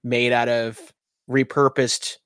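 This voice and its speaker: A man's voice: medium pitch, level tone, takes it slowly, fairly bright tone, clean tone, no echo, clean studio sound. A man hosting as game master, narrating a tabletop roleplaying game descriptively with wry asides. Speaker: game master and podcast host.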